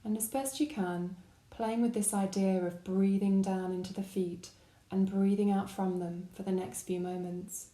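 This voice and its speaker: A woman guiding a body scan meditation with gentle, calm, unhurried instruction. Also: mid-range voice, echoey room. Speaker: meditation guide.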